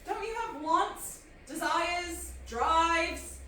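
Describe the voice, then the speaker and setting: A woman's voice: very high-pitched, expressive, very slow, sharp tone, heavily acted. A woman playing a frank, irreverent young woman in a sci-fi audio drama, talking in a casual, wry, confessional way. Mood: wry, curious. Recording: very echoey, distant, clearly noisy.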